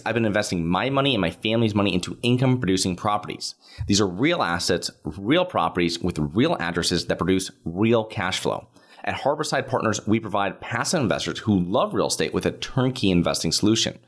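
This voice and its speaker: Deep-voiced podcast host man. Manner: brisk sales pitch, repeats the web address. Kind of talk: scripted ad read and interview.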